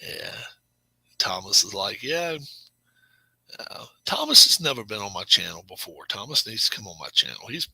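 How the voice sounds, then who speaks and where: A middle-aged man hosting a casual live stream, chatting with viewers in a rambling, self-correcting way and sometimes dropping to a low murmur. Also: big pitch swings, normal speed, vocal fry, bright, forceful tone, slightly rough, dry recording, low-quality recording. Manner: drops to a low murmur at times. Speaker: channel host.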